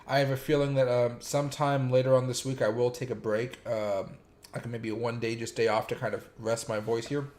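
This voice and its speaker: Man with a sore, raspy streaming voice. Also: medium pitch, level tone, normal speed, a little mellow. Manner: rambling, filler-heavy, talks to chat viewers by name. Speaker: crypto YouTuber and streamer. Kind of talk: casual livestream chat with chart commentary.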